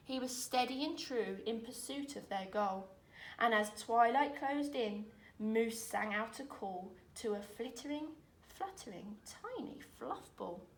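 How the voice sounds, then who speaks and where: A woman reading a rhyming children's picture book aloud in an expressive, dramatic way, with deliberate phrasing. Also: fairly high pitch. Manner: dramatic, deliberate phrasing with emphatic swells and hushes. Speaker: storyteller reading aloud, teacher. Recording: roomy echo, distant microphone.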